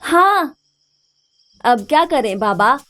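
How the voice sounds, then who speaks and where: A squabbling young farm boy, performed by a woman for a cartoon moral tale. The voice is very childlike, high and whiny, with unhurried phrasing. Music plays behind it. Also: very animated, fairly bright tone, full character voice. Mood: hesitant, curious. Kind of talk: animated moral story acting.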